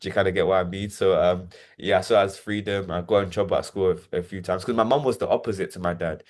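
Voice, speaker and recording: Man, 20s, from Nigeria; low-voiced, halting testimony. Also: fairly even intonation. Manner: hesitant, repeats words, many fillers. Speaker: interview guest. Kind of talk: reflective personal testimony.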